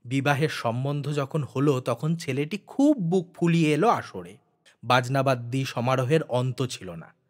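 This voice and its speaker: A man narrating a literary audio story with expressive, deliberate, dramatic phrasing. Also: medium pitch.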